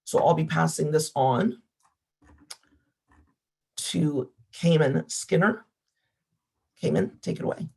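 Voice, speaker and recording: Hoarse, gravelly woman organizer. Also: deep voice, takes it slowly, pauses often, darkish tone. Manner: polite, apologetic, fillers. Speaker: event organizer. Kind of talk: webinar announcement.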